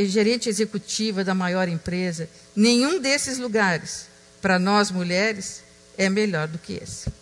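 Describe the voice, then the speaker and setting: A woman giving a reflective talk on stage, slow and emphatic, dropping to a hush on key words. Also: mid-range voice, big-room sound. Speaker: speaker.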